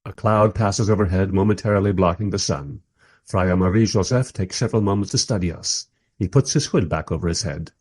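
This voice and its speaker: A man's voice: deep voice, a little fast, a little mellow. A man playing a bemused documentary interviewer who narrates a radio play in a dry, reflective, self-deprecating way. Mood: wry, reflective. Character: wry, bemused male documentary interviewer narrating his journey.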